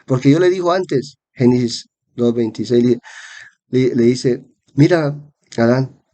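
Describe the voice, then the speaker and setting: A man's voice: mid-range voice, warm tone. A man giving a Bible-teaching sermon, congested with a cold and repeating phrases for emphasis. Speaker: preacher.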